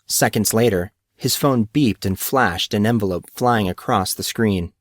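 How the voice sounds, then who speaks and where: A man's voice: on the low side, a little slow, dry recording, clean studio sound. A man reading a story aloud as scripted audiobook narration.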